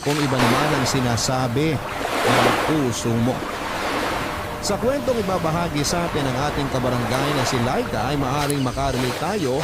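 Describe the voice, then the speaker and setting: A man's voice: medium pitch, very noisy. A man with a Filipino accent narrating a love story as a radio host, in dramatic scripted narration. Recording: loud music under the voice. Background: loud background music.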